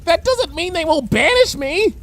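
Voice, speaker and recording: A man voicing an anxious, exasperated female pony with a shrill, scolding manner in a story reading. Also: sing-song, normal speed, creaky, fairly bright tone, exaggerated voice. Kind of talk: animated fan fiction reading.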